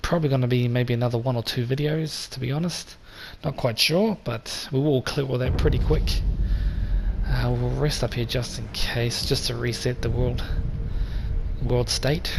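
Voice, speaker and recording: Croaky-voiced male let's play host. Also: medium pitch, normal speed. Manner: relaxed and chatty, talks straight to viewers. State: slightly croaky voice. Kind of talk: casual gameplay commentary. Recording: voice over game sound noise.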